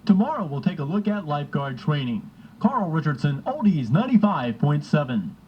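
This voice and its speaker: A man's voice: on the high side, big pitch swings, normal speed, soft background music. A man working as a radio reporter, reading scripted news and sports in an old lo-fi radio recording. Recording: dull lo-fi old radio aircheck.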